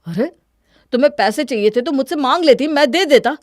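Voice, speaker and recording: A woman's voice: sits high, animated, a little fast, darkish tone, no room sound, studio quality. A woman voicing a suspicious, bitter young husband in a cartoon family story. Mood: accusing. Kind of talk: Hindi cartoon moral-story voice acting.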